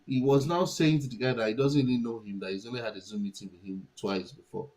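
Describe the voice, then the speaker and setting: A man's voice: medium pitch, steady tone, fast, a little mellow. A man whose first language is Yoruba, giving casual market commentary and thinking aloud with many restarts and fillers.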